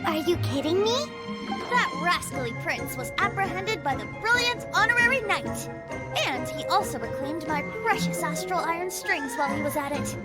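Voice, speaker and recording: A woman voicing a tiny, perky, indignant fairy companion with a squeaky childlike voice in an anime-style video game. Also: expressive, normal speed, loud music behind the voice. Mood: indignant, boastful.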